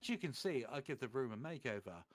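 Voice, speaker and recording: A man's voice: mid-range voice, animated, quick pace, close to an everyday voice. A man voicing an eccentric old wizard headmaster in a theatrical, exclamatory way, as in a comic story reading. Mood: cheerful.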